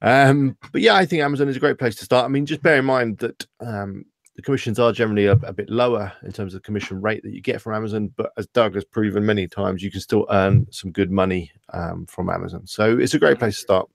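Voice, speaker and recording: A man's voice: fairly low pitch, steady tone, fast, vocal fry, no echo. A man hosting a casual livestream Q&A, chatting and reading out viewers' questions. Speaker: livestream host.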